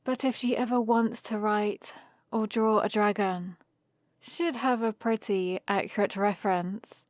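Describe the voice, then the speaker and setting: A woman's voice: mid-range voice, steady tone, unhurried, dry recording, studio quality, natural voice. A woman playing a playful, teasing young heroine adventurer who chats and rambles fondly in a one-sided ASMR roleplay. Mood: explaining, coaxing. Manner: chatty, rambling, gently teasing.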